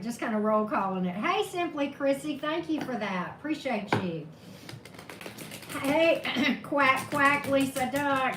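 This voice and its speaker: A woman with a high, slightly hoarse voice talks casually as a tarot reader on a live stream, greeting her viewers one by one. She is chatty and laughs at her own corny jokes. The sound has a roomy echo and clear background noise. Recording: echoey room with steady background noise.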